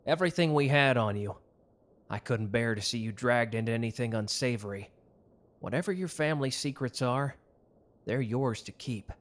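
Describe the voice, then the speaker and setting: A devoted mafia lover in a romantic audio drama, played by a man. His voice sits at a middle pitch. He is earnest and intimate, protective of his sweetheart, with a gruff, hot-tempered streak. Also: close to an everyday voice.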